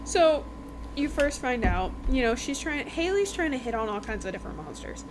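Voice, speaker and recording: A woman with a fairly high voice hosts a casual book review podcast. She talks informally and emphatically, repeating phrases and checking that listeners follow. There is noticeable noise behind her. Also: sing-song, moderate pace. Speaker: podcast host reviewing books.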